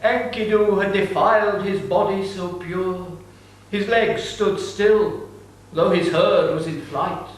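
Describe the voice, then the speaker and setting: A man's voice: sits high, steady tone, slow. A man narrating an ancient epic in a declamatory, solemn dramatic reading. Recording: distant mic, echoey room.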